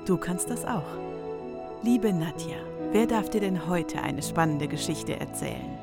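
A woman with a low-pitched voice delivers a scripted podcast introduction. Loud new-age music plays behind her throughout. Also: expressive, a little slow.

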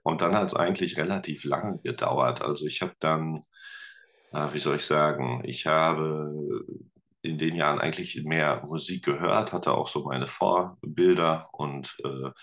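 A man's voice: very deep voice, a little slow, fairly bright tone. A man talking casually as an interview guest, rambling a little as he thinks aloud. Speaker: guest, teacher and musician.

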